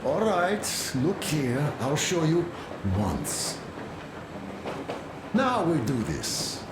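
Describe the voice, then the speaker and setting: An actor playing a patient, gently strict man teaching handiwork in a game, with an instructive manner. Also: a little high, wildly swooping pitch, full character voice. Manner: slow, instructive. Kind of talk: game cutscene dialogue. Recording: echoey, noisy ambience.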